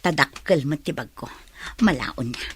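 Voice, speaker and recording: A woman's voice: low-pitched, lively intonation, moderate pace, a little hiss or hum. An actress playing a sly, scheming young woman in a radio drama, often musing aloud to herself.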